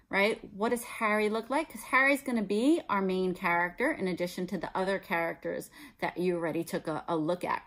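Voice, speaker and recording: A woman's voice: medium pitch, a bit bright, low-quality recording. A middle-aged American woman, a teacher reading a children's story aloud expressively. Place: small room at home, indoors.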